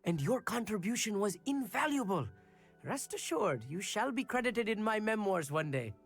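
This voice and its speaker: A proud young stargazer student. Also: male voice, high-pitched, sing-song, moderate pace, husky, full character voice. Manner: earnest, slightly pompous. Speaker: game character. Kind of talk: video game voice acting. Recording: game audio with faint music.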